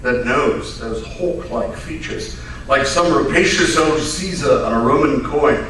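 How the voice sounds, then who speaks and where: Man acting as grumbling radio-drama companion, blustery, incredulous, very animated intonation, echoey distant stage sound. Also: mid-range voice, measured pace. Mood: astonished, skeptical. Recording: echoey live stage, distant mic, faint noise.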